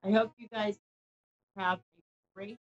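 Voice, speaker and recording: A woman giving casual, emphatic advice to viewers in an informal, animated way. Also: mid-range voice, measured pace. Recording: slightly dull, muffled audio.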